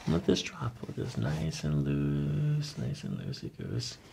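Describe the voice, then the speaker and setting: Creaky-voiced male chiropractor giving soothing cues. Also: rumbling low, huge pitch swings, a little slow. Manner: soothing, repetitive short cues. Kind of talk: quiet hands-on instruction to a patient, ASMR. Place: small carpeted treatment office. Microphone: boom-arm microphone near the treatment table. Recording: noisy room recording with clicks.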